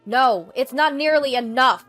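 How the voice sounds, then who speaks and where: Stern, fed-up husband played by a woman, high-pitched, emphatic and confrontational story dialogue over light music. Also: measured pace, fairly bright tone.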